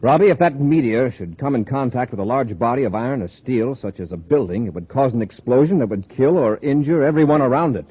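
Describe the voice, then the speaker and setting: An actor playing a steady, authoritative space patrol commander in a 1950s radio drama, speaking with brisk authority. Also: male voice, mid-range voice, breathy edge. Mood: grave. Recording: dull vintage radio recording.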